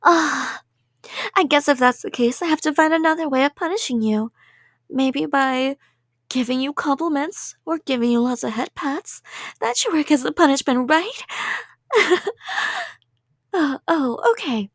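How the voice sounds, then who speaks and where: A woman's voice: sits high, a little slow, long pauses, dry recording, studio quality. A woman playing an obsessed young yandere kidnapper in an intimate ASMR roleplay, rambling coyly and sweetly with menace. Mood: teasing. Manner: rambling, giggly, coy, threatening in a sweet tone.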